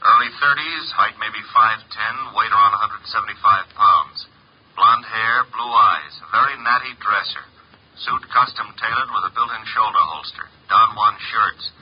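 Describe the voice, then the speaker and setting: A man's voice: lowish voice, moderate pace, breathy edge. An actor playing a flippant detective's legman reporting in slangy, clipped phrases through a telephone effect in a 1950s radio drama. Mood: flippant, brisk. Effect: telephone filter. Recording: dull, telephone-filtered 1950 radio recording.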